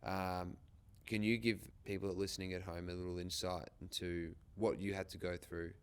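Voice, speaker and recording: A man's voice: low voice, level tone, normal speed, smooth. A man with an Australian accent, hosting a podcast and interviewing in an informal, casual way. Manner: informal, occasional swearing.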